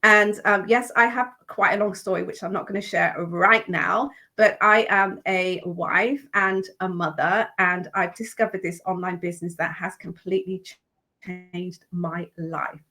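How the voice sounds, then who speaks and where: Upbeat encouraging female co-host, bright tone. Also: on the low side, steady tone, a little slow. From Jamaica or UK. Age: middle-aged. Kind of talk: online business talk show.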